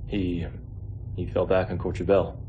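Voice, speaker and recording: A man's voice: deep voice, level tone, a little slow, phone-quality sound, slight background noise. An actor playing a somber male warrior who has defected, in a game cutscene, talking in a terse, grave way. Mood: somber, regretful. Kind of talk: dramatic video game cutscene acting.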